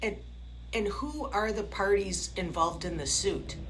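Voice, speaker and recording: A woman's voice: medium pitch, expressive, measured pace. A woman on a phone call, speaking tersely in short questions and answers. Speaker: person receiving a scam phone call. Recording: phone call with faint background noise.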